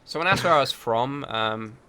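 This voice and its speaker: A man from Wales co-hosting a gaming livestream, chatting quickly and casually. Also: mid-range voice, lively intonation, pushed and bright, clear, resonant tone. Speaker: livestream co-host. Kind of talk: casual chat.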